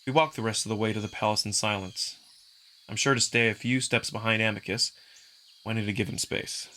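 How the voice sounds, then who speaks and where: A man's voice: on the low side, fairly even intonation, fairly quick, bright, forceful tone, slight background noise. A man reading first-person visual novel narration as a scripted storyteller. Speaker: narrator and voice performer.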